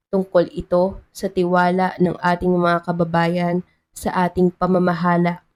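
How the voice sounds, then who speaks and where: A woman's voice: on the low side, mellow, close to an everyday voice. A woman storyteller voicing a kind, polite and earnest male town mayor in a romance story.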